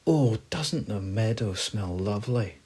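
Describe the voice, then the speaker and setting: A man voicing a small, friendly storybook mouse who is eager but easily frightened, with an excitable manner that turns breathy with worry. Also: medium pitch, measured pace, pitched above the performer's own voice.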